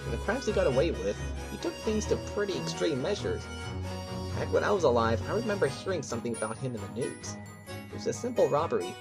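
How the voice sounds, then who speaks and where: An actor playing an earnest, gossipy ghost witness giving testimony in an audio drama. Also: male voice, a little high. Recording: clear music behind the voice.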